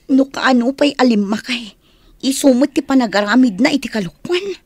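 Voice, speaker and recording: A Filipino woman acting in a radio drama as an excitable, complaining young village woman. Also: high-pitched, normal speed, husky, dry recording, clean studio sound. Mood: startled, scornful.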